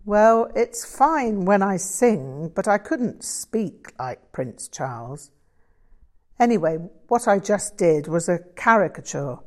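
A modest English musician and painter from a novel , voiced by a woman reader. He explains himself wryly and thoughtfully, always playing himself down, at a measured pace. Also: mid-range voice, sing-song, darkish tone, pitched above the performer's own voice. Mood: self-deprecating. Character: Vic, self-deprecating English bluesman and painter, wry, thoughtful.

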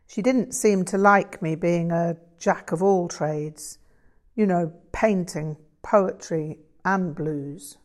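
A modest English musician and painter from a novel , voiced by a woman reader. He explains himself wryly and thoughtfully, always playing himself down, at a measured pace. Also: lowish voice. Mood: wistful, resigned. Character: Vic, self-deprecating English bluesman and painter, wry, thoughtful.

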